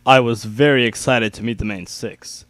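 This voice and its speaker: A young man narrates fan fiction as an amateur dramatic reader. His pitch is average for a man. The recording is dry, with no room sound. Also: steady tone, moderate pace, fairly bright tone, dry recording. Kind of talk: dramatic reading of first-person fan fiction.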